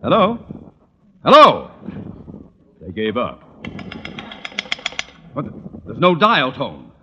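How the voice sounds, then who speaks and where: Blustering pompous principal, old radio. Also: male voice, mid-range voice, wildly swooping pitch, very slow, full character voice. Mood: exasperated, blustering. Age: middle-aged. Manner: blustering, theatrical, orotund. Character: pompous, irascible male high school principal, blustering. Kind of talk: 1940s-50s radio comedy acting. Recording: muffled, noisy old radio recording.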